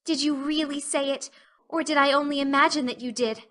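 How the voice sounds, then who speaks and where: An earnest, imaginative little orphan girl , acted by a woman, speaks in a high, bright voice. She is intense, breathless and pleading, as in a storybook drama. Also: fairly even intonation, brisk. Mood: breathless hope. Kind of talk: audiobook dramatic reading.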